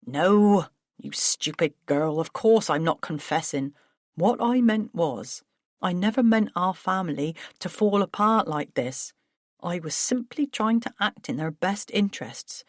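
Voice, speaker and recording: A woman's voice: lowish voice, lively intonation, measured pace, no echo, studio quality. A woman voicing a flustered, self-pitying middle-aged businessman who turns defensive and agitated. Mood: exasperated. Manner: agitated, defensive, rising to outbursts.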